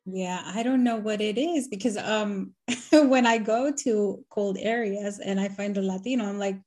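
A woman's voice: medium pitch, normal speed, a little mellow. A woman from Nicaragua hosting a podcast interview, upbeat and chatty. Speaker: podcast host. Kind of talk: casual interview chat.

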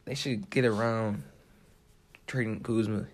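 A man's voice: fairly low pitch, fairly even intonation, unhurried, slight background noise. Opinionated young American man. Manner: informal, opinionated, short emphatic statements. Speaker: podcast co-host. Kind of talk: casual sports chat.

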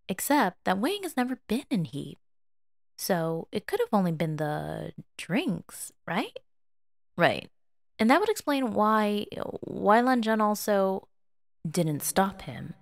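A woman reading fiction aloud as a dramatic, expressive and emotive narrator. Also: mid-range voice, a little slow, gravelly, dry recording, clean studio sound. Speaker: fan fiction audiobook reader.

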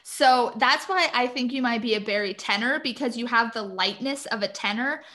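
A woman's voice: on the high side, normal speed, pushed and bright. A woman in casual chat who explains singing terms in detail and laughs often.

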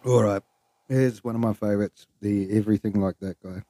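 Male podcast host, low mellow voice, rambling and offhand, very dry room. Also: steady tone, fairly quick, no echo. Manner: rambling, loose, swears, trails off. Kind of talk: casual solo podcast chat.

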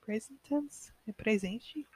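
A woman in her twenties from the United States with an American accent, slowly speaking as a language learner, hosting a casual podcast with many pauses and self-corrections. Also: on the high side, husky, poor audio. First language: English. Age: 20s. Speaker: podcast host.

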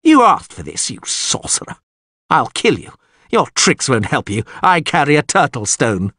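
A man's voice: mid-range voice, animated, unhurried, breathy edge, dry recording, clean studio sound, voice pushed up. A man voicing an agitated, haughty nobleman in an audiobook, with a strained, raised voice. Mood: furious, scornful. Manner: sneering, blustering, agitated. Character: haughty, furious nobleman, frightened and pleading when bound. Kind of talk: audiobook character acting.

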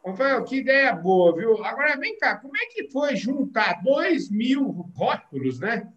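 A man hosts a podcast with an exuberant, folksy energy. His voice is bright and forceful, and he talks straight to his listeners between questions to his guest. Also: very high-pitched, very animated, unhurried, lo-fi sound. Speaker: podcast host. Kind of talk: lively podcast interview.

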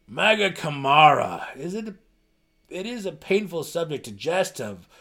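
Man acting out a booming, gruff deep gnome warden. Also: sing-song, measured pace, fairly bright tone, exaggerated voice. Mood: pained.

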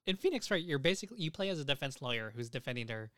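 Smooth-voiced man chatting on a podcast. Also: medium pitch, sing-song, very fast, pushed and bright, dry recording, studio quality. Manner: enthusiastic, casually profane. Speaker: podcast host. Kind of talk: casual podcast chat.

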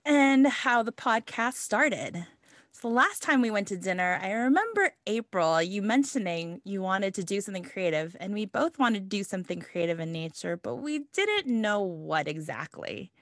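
A woman's voice: mid-range voice, sing-song, moderate pace, a bit bright, dry recording. Upbeat woman podcast host, dry sound. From Los Angeles. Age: 30s. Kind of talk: scripted self-introduction.

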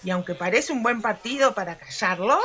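A woman's voice: medium pitch, lively intonation, brisk, bright, forceful tone. An actress playing a worldly, teasing female confidante in a humorous radio soap, with a knowing manner. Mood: cautioning.

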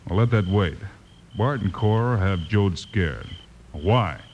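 A man's voice: low voice, lively intonation, slow pace, darkish tone, highs cut off, a little hiss or hum, heavily acted. A man playing a firm, level-headed American lawman hero in an old-time radio western. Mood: thoughtful. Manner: firm, matter-of-fact.

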